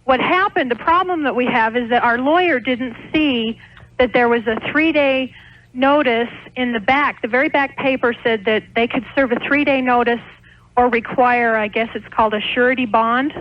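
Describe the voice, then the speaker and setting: A woman's voice: a little high, normal speed, a little hiss or hum. An American woman calling in to a radio show by phone, explaining things in a hesitant, rambling way. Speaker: caller, small restaurant owner. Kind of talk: unscripted phone-in conversation. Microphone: telephone. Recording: dull lo-fi radio phone call.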